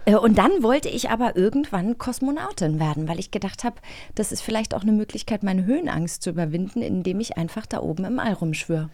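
A woman's voice: medium pitch, animated, a little fast, darkish tone, no room sound. Woman chatting playfully, dry sound. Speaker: podcast co-host. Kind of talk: casual chat.

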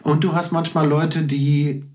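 A man's voice: a little high, little pitch movement, unhurried, darkish tone. Middle-aged man telling casual anecdotes. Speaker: podcast guest, musician.

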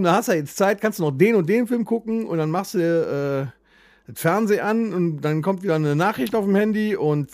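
A German man with a noticeably high-pitched voice chats as a podcast co-host. His manner is colloquial, blunt and jokey. The sound is dry, with hardly any room on it. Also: a little mellow, dry recording. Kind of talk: casual podcast banter.

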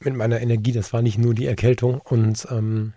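A man's voice: medium pitch, flat delivery, brisk, mellow, no room sound. A man hosting a podcast, telling stories in a reflective, earnest way during a casual conversation. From Germany, Ruhr area region. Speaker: podcast host.

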